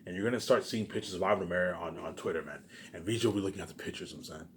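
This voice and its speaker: A man giving a rambling, unscripted, repetitive monologue to viewers, emphatic, with loud bursts that drop into muttered asides. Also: sits low, racing, a little hiss or hum. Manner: repetitive, circling back to same phrases. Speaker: online video maker.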